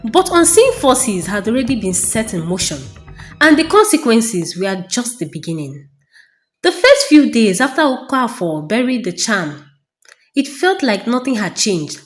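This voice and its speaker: High-pitched, animated woman telling a folktale. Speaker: storyteller narrator. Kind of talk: dramatic scripted folktale narration.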